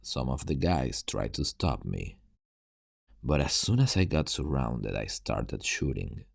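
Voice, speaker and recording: A man playing a weary, haunted survivor of a zombie apocalypse in an ASMR roleplay, telling his story in a hushed, grave, halting way. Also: extremely low pitch, very animated, vocal fry, no room sound, studio quality, full character voice. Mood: heavy, regretful.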